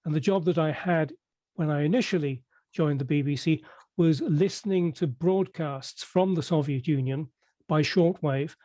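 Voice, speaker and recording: Older English man, interview guest, mellow voice on the high side, measured and halting, dry close sound. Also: dry recording. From Merseyside, England. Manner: measured, hesitant with many fillers and restarts. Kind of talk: conversational interview.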